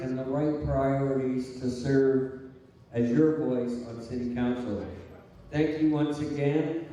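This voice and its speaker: Guy doing a slow hesitant candidate speech, warm tone, loads of room echo. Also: mid-range voice, a little hiss or hum. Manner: halting, hesitant. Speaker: city council candidate. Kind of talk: campaign closing speech at a public debate. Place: large hall, public debate. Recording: very echoey hall, distant microphone.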